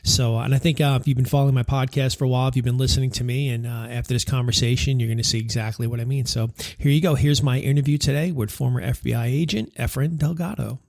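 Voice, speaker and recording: Fast-talking man hosting a podcast. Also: mid-range voice, steady tone, vocal fry, darkish tone. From New York. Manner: rambling, heavy on fillers and restarts. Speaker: podcast host. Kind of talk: casual interview chat.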